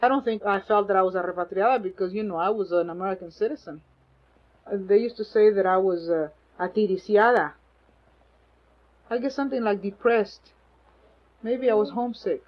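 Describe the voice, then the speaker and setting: An older woman recalling her life in a halting, reflective way, in an archival oral history interview. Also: mid-range voice. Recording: dull lo-fi archival tape.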